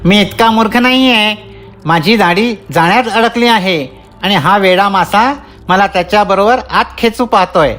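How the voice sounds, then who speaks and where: A woman's voice: mid-range voice, normal speed, fairly bright tone, slight background noise, close to an everyday voice. A woman voicing a rude, grumpy little bearded male dwarf in a children's cartoon story, with a blustering, indignant manner. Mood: irritated, scolding.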